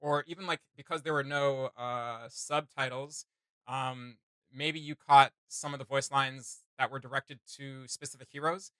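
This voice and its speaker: A man's voice: mid-range voice, steady tone, unhurried, pushed and bright, smooth, no echo. A man hosting a reaction video with casual, unscripted commentary, rambling through his thoughts in slangy, enthusiastic fan talk.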